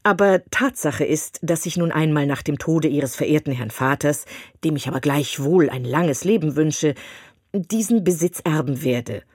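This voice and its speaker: A woman's voice: deep voice, animated, normal speed, darkish tone, slightly rough, no echo, clean studio sound. A woman voicing a pompous, long-winded male clergyman who condescends as he proposes, in an audiobook. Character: pompous self-satisfied male clergyman suitor. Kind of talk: audiobook reading of a classic novel.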